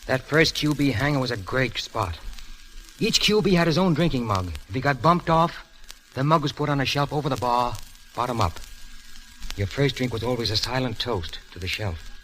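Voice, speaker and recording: A man's voice: medium pitch, lively intonation, moderate pace, a bit bright, slight background noise, natural voice, rough recording. An American man playing a cocky, flirtatious veteran pilot with brash, slangy patter in a 1930s Hollywood film. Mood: easygoing. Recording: vintage 1930s film soundtrack with music.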